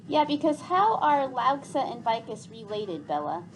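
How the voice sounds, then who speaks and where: A woman's voice: a little high, very animated, normal speed, poor audio. A woman teacher reading aloud to a class and leading discussion, restating points as she goes. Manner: restates and sums up what others say. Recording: clear background noise.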